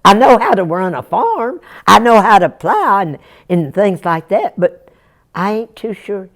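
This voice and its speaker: A woman's voice: medium pitch, big pitch swings, measured pace, fairly bright tone, voice pushed up. Woman doing an earnest farm boy. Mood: earnest, humble. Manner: drawling backwoods talk, humble and polite.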